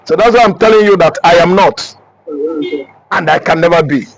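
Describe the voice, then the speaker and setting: A man's voice: high voice, moderate pace, creaky, poor audio. A man from Nigeria with a Nigerian accent, speaking in an emphatic, repetitive, declaiming way as an interviewee. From Anambra State, Nigeria. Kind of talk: heated street interview talk.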